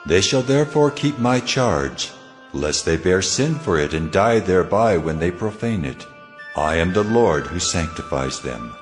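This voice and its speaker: An actor playing God as a solemn, commanding lawgiver in a dramatized scripture reading. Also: male voice, low-pitched, expressive, a little slow. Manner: solemn, declarative. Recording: long cavernous reverb, music underneath. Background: music.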